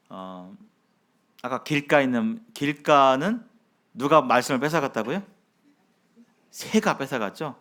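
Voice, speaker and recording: A male pastor preaching a sermon in a measured way, with frequent fillers and rhetorical questions to the congregation. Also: mid-range voice, a bit bright.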